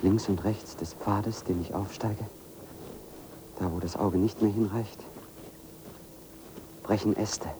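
An actor playing a solitary, brooding man who narrates his own walk in a measured, deliberate way, as in a radio play. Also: fairly low pitch, steady tone, noisy background. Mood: brooding, observant. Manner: measured, deliberate, literary first-person narration. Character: solitary brooding man walking into a dark forest. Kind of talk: radio play interior monologue.

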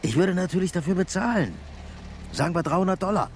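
An actor playing a shady, sickly male stranger in a crime radio drama, who is by turns gruff and slick. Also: sits high, expressive, quick pace, slight background noise. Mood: ingratiating, pleading.

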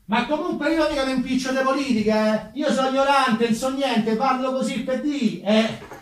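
A man's voice: very high voice, moderate pace. A man with a Roman accent playing a chatty, self-deprecating working-class umbrella mender of the 1840s in a theatrical monologue. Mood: gossipy. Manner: folksy, conversational, rhetorical asides to the audience. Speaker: stage actor. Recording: very echoey room, distant microphone.

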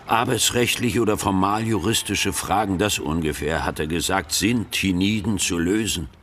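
Radio play casting: pious, evasive church official, low unctuous preacherly voice, noticeable background noise. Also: male voice, normal speed, fairly bright tone. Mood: pious, aloof. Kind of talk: German radio drama acting.

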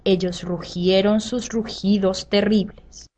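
A woman narrating a scripted children's story with dramatic pauses and drawn-out phrases. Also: mid-range voice, steady tone. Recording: faint background noise.

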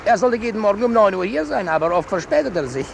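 An actor playing a brisk, obedient army officer with clipped, deadpan replies in a 1950s German radio drama. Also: male voice, high-pitched, gravelly, slight background noise, full character voice. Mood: matter-of-fact.

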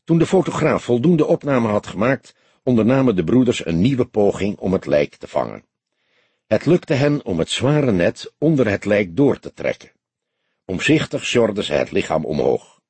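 A man's voice: on the low side, sing-song, normal speed, darkish tone, husky, no echo. A man narrating a scripted crime story as an audiobook reader.

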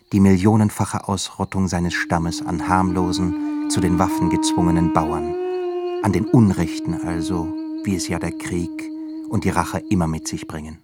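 A man delivers scripted literary narration for a radio drama. His voice is low and grave, with deliberate phrasing. Prominent music plays throughout behind him.

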